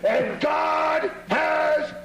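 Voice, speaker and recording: A woman's voice: sits high, drawn-out words, a bit bright, shouting, some chatter behind, heavily acted. A woman playing a defiant, fervent devotee of Satan in theatrical, declaiming film dialogue. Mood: fervent shouting. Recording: echoey, hum and faint noise.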